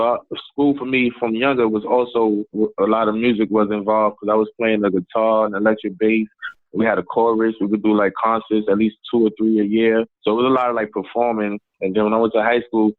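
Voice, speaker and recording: A man's voice: fairly low pitch, flat delivery, fairly quick, dry recording. A young man, from the Bronx, answering interview questions in a laid-back way with fillers. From South Bronx, New York. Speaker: guest, hip-hop artist. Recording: tinny phone-like line, dry.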